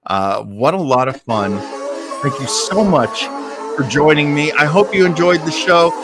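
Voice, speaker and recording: A man's voice: a little high, unhurried, music in the background. A man hosting a live listening show, chatting casually and enthusiastically with his audience. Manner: enthusiastic, effusive. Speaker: host of a live radio-mystery listening show. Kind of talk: casual livestream chat with listeners.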